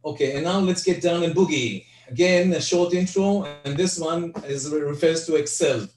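An older Hebrew-speaking man, giving an online spreadsheet lecture from home over a headset, halting with frequent restarts. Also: fairly high pitch, darkish tone, faint music behind. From Israel. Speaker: guest presenter. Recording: echoey, distant video call audio.

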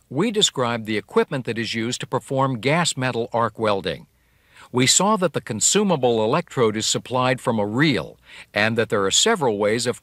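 A man narrates a technical training lesson in a clear, measured way. His pitch moves a lot, which gives the explanations a lively lift. The voice-over sounds close and dry, with no room around it. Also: no echo. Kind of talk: scripted instructional narration.

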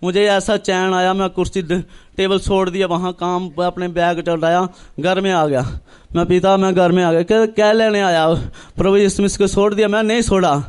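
Man, high pitch, clear smooth voice, earnest testimony, halting storytelling. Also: little pitch movement. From northern India. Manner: earnest, halting, repetitive, acts out reported dialogue. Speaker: church member giving testimony. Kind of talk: personal religious testimony, storytelling.

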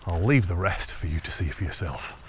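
A man in his thirties with a lowish voice talks into a handheld camera while walking outdoors. He is chatty and enthusiastic. Faint outdoor ambience sits behind him. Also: expressive, normal speed, a little hiss or hum. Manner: chatty, enthusiastic, laughs to himself. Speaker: hiking vlogger. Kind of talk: casual walking commentary. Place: outdoors on open fells and woodland paths. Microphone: handheld camera at arm's length.

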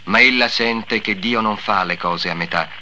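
A man's voice: fairly low pitch, moderate pace, sharp tone, a little hiss or hum. A man narrating in a formal, declamatory 1950s devotional documentary style, with solemn delivery. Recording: old 1950s soundtrack, music behind.

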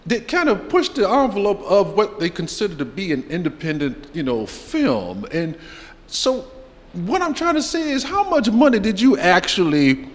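A man's voice: high-pitched, sing-song, normal speed. Showy middle-aged male host, playful and rambling, into a handheld mic in a hall. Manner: theatrical, joking, rambling. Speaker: festival interviewer, show host. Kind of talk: playful red-carpet interview. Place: festival press wall, indoors. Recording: reverberant hall.

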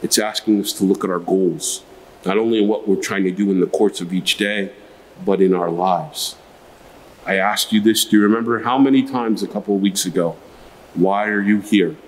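Low-voiced middle-aged priest preaching. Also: male voice, steady tone, moderate pace, low-quality recording. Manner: explanatory, emphatic, with rhetorical questions. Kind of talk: homily, spoken to a congregation. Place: church sanctuary at a lectern. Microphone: gooseneck lectern microphone.

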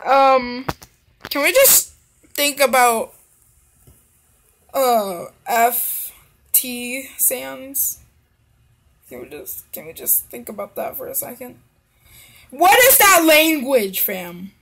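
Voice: medium pitch, animated, slow, pauses often, fairly bright tone. A young adult giving casual reaction commentary as the host of a video.

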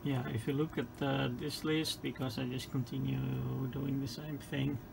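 Gravelly-voiced man explaining gear. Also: medium pitch, flat delivery, moderate pace. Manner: hesitant, unscripted explaining. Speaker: host demonstrating radio equipment. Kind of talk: informal hands-on demonstration. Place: indoor electronics lab bench. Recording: noticeable background noise in workshop.

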